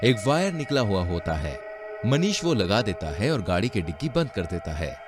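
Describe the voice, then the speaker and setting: A man's voice: lowish voice, sing-song, normal speed, music in the background. A man narrating a scripted horror-comedy story in a dramatic storytelling style.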